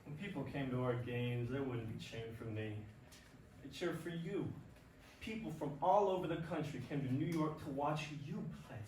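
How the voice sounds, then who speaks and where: A man's voice: mid-range voice, normal speed, darkish tone, lightly acted. A man in a staged play reading playing a disgraced, remorseful and jealous baseball star, confessing in a defeated manner. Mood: wistful envy. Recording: very echoey stage, distant mic, faint noise.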